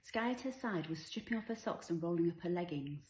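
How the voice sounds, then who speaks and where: A woman's voice: fairly low pitch, expressive, brisk, darkish tone, echoey room. A woman reading her own novel aloud as scripted story narration.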